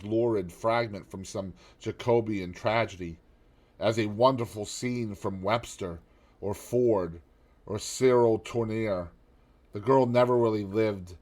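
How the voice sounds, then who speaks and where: A man voicing a worldly, cynical aristocratic gentleman in an audiobook, with a drawling, epigrammatic manner. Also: lowish voice, close to an everyday voice. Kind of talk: audiobook character reading.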